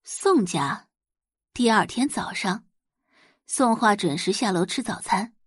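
A woman's voice: mid-range voice, expressive, slow, slightly rough, no echo, clean studio sound. A woman narrator reading a romance novel expressively for an audio drama, as scripted storytelling.

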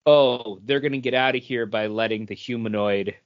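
A man's voice: mid-range voice, fairly even intonation, moderate pace. A man co-hosting a podcast, chatting casually through a comic book review in a rambling way that repeats phrases as he thinks. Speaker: podcast co-host. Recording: dull lo-fi podcast audio.

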